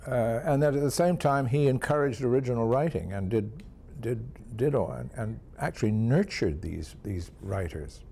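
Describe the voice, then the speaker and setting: A man's voice: medium pitch, animated, moderate pace, creaky, mellow. An older man reminiscing admiringly in an interview, emphatic and given to repeating his phrases. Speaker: interviewee recalling radio drama history. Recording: noticeable background ambient noise.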